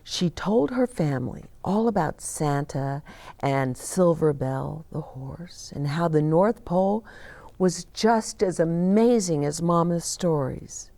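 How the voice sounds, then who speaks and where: A woman in her sixties reads to children as a storyteller. Her voice is fairly low and creaky, with very animated pitch. She leaves dramatic pauses and hushes key words. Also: no echo. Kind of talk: expressive picture-book read-aloud. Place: small decorated indoor set, seated.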